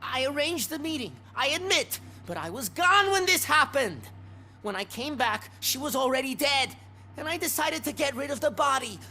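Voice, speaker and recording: A woman's voice: sits high, expressive, moderate pace, sharp tone, faint music behind, full character voice. A woman playing an urgent, defensive crime suspect in a video game. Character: frantic, pleading woman pimp accused of murder. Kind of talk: video game cutscene acting.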